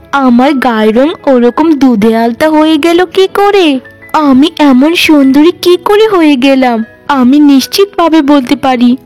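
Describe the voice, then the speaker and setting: Woman playing a sweet, high-voiced orphan village girl. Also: a little mellow. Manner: earnest, plaintive, grateful.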